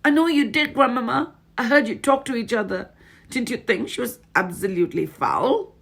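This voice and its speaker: A woman voicing an excited, plucky young boy in a storybook reading. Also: sits low, fairly quick, breathy edge. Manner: breathless, excited.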